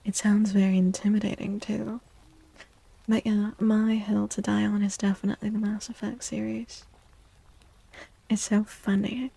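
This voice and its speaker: A woman speaking softly and breathily in a gentle, unhurried half-whisper, chatting as an ASMR livestream host. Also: mid-range voice, level tone, creaky, slightly rough, poor audio. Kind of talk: soft-spoken ASMR livestream chat. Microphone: close microphone, dry.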